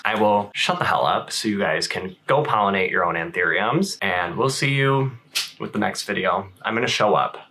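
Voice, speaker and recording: A man's voice: fairly low pitch, sharp tone, a little hiss or hum. A man chatting casually as a plant vlogger, playful and self-deprecating, drifting into rambling asides. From Wisconsin, United States. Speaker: plant vlogger and shop owner. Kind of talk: casual how-to vlog chat.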